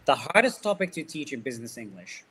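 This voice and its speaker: A man's voice: medium pitch, sing-song, quick pace, bright, forceful tone. A man putting questions in a casual interview. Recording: faint background noise.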